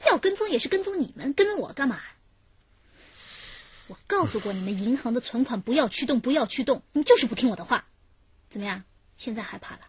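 High, husky-voiced wary gang girl. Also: lively intonation, quick pace, pauses often, dry recording, highs cut off. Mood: reproachful, nagging. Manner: complaining, evasive. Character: wary, defiant young woman in a criminal gang. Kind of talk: radio drama acting.

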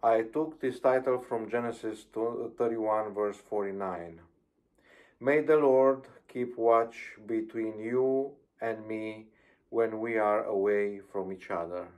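Romanian-accented middle-aged guy giving a sermon on a video call, lots of ums, slow and hesitant. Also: on the low side, steady tone, a little mellow. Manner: careful, hesitant with frequent ums. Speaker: pastor. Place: plain indoor room. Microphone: webcam on video call.

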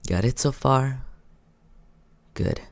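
A man playing a courteous, teasing vampire in an ASMR roleplay, soft-spoken, calm and unhurried, close to the microphone. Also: dry recording. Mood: calm, businesslike. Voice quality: low, creaky.

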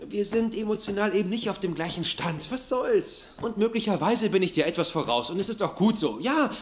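An actor playing an insecure young boyfriend in a dubbed sitcom, nervous and self-justifying. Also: male voice, high voice, expressive, brisk. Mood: defensive. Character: insecure, defensive nerdy boyfriend, young man. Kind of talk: German-dubbed sitcom acting. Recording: noisy sitcom soundtrack.

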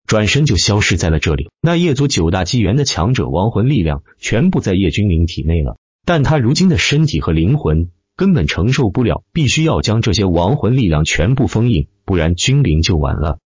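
A man's voice: on the low side, big pitch swings, a little fast, hardly any pauses, husky, dry recording, highs cut off. A man reading scripted fantasy fiction as a dramatic audiobook narrator.

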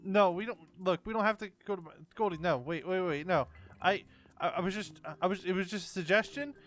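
A woman's voice: fairly low pitch, brisk, soft background music, close to an everyday voice. A woman as a nervous, stammering unicorn pal. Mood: nervous, pleading. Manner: hesitant, stammering, pleading. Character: nervous, well-meaning best pal, unicorn character. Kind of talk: improvised game roleplay.

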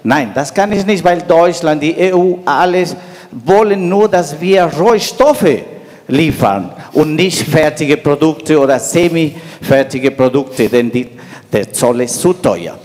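A middle-aged man from Peru with a Peruvian Spanish accent, making a deliberate, halting comment from the audience. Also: fairly high pitch, expressive, a little mellow. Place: large wood-panelled conference hall. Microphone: handheld microphone. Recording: long hall reverb.

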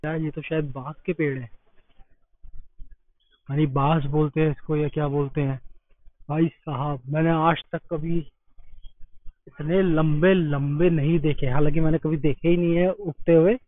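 A man's voice: a little high, steady tone, unhurried, pauses often, dry recording. A young man from India narrating a travel vlog outdoors, chatty and exclaiming as he shows viewers around. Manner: chatty, exclaiming, tour-guide commentary to viewers. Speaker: travel vlogger. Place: outdoors at a temple site. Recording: tinny phone-like sound.

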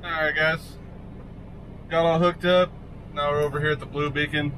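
A man's voice: a little high, level tone, normal speed, low-quality recording. A man driving a semi-truck, casually talking to his viewers from the cab, laid-back and chatty with the odd curse word. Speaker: truck driver vlogger. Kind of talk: casual narration while driving. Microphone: dashboard camera mic. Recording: loud engine and road noise.

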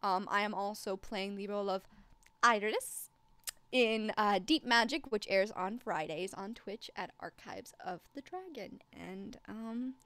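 A woman's voice: medium pitch, measured pace, bright, forceful tone, clean tone, no echo. A woman chatting casually on a livestream as a guest storyteller, with a wry, self-deprecating way of joking. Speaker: guest storyteller and game master.

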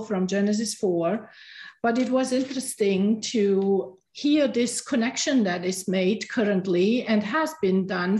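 A middle-aged woman from Romania, giving a Sabbath school talk with many pauses and restarts. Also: mid-range voice, darkish tone, echoey room. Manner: halting, many ums and restarts. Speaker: guest teacher.

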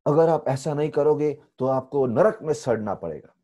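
Indian man teaching with a mellow, warm voice. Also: mid-range voice, moderate pace. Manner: lively storytelling, mixing English phrases into Hindi. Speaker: spiritual teacher. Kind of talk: online spiritual lecture. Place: temple room indoors. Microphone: earphone headset mic on video call.

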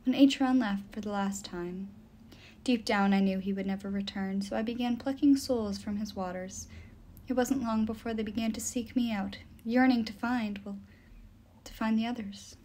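A woman voicing an ancient river nymph in an audiobook, soft-spoken, formal and measured, with a mysterious, patient sorrow. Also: on the high side, lightly acted. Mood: wistful, solemn. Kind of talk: audiobook character dialogue.

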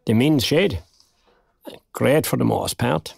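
A recently retired Irishman with a gravelly edge to his voice. He talks colloquially and with wry good humour, in a naturalistic audio drama, recorded close and dry. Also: medium pitch, expressive, slow pace, a little mellow, no room sound, full character voice. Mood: amused gossip. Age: older.

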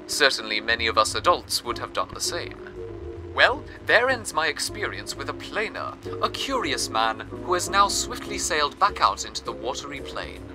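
A man acting as a showy radio presenter from an old-time broadcast, theatrical and pleased with himself. His voice sits fairly high and swings up and down with lively pitch. Soft music plays behind him. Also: poor audio. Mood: reflective. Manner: theatrical, self-congratulatory. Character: cheerful, grandiose male radio presenter in a rusting city.

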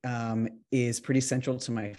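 A man from New York City giving a casual talk about his writing over a video call, relaxed and full of ums and restarts. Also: mid-range voice, moderate pace. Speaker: author giving a resident talk.

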